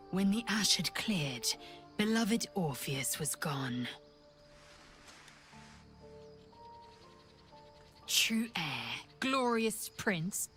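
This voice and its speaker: Proud, low-voiced alien warrior. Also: female voice. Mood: solemn reciting. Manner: haughty, formal, clipped and severe. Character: proud, fierce, disdainful Githyanki warrior woman. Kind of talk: dramatic fantasy video game acting.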